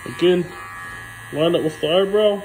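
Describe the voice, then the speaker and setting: A young man, a barber, talking through a haircut tutorial in a relaxed, plain way with frequent ums. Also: high-pitched, moderate pace, slight background noise, low-quality recording.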